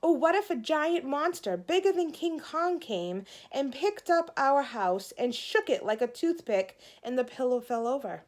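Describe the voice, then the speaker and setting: A woman's voice: sits high, big pitch swings, a little slow, hardly any pauses, darkish tone, pitched above the performer's own voice. A young boy in a storybook, played by a woman reading aloud, the pestering little brother type. He is curious and wide-eyed, always questioning, with an eager delivery. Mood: curious, excited. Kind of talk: children's storybook read-aloud.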